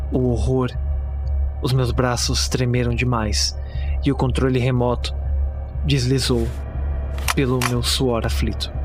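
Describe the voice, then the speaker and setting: A man's voice: medium pitch, close to an everyday voice. A man with a Brazilian accent playing a terrified witness who recounts a horror story in the first person, dramatic and anguished.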